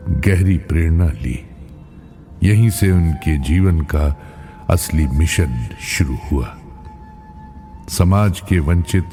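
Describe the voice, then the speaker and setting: Man, very low voice, dark mellow tone, solemn scripted tribute narration, deliberate pauses, music behind. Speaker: political party spokesperson reading a tribute.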